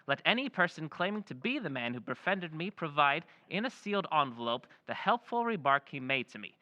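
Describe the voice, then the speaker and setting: Actor as a mysterious stranger in a radio play, formal and measured, bright tense voice, a bit high. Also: male voice, big pitch swings, lo-fi sound. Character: mysterious male stranger dictating a letter, formal. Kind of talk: old-time radio play acting.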